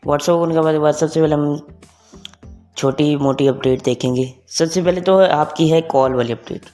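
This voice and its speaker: A man's voice: fairly high pitch, fairly even intonation, moderate pace, darkish tone. Young man presenting over music. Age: young adult. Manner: mixes English words and phrases into talk. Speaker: tech video presenter. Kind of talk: casual spoken tutorial for online viewers. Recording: music behind the voice. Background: background music.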